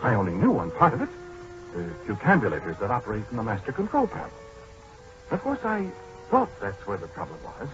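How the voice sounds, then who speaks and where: An American actor playing a fussy, exasperated middle-aged scientist who speaks hesitantly and excitably in a 1940s radio drama. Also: male voice, on the low side, a little fast. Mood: aggrieved, despairing. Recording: muffled 1940s radio broadcast with music.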